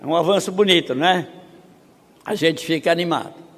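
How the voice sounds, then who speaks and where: A man's voice: on the high side, a bit bright. Brazilian man preaching in a reverberant hall. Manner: rhetorical questions, emphatic rises; reads statistics slowly. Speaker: missionary conference speaker. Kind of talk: conference preaching. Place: seminary auditorium.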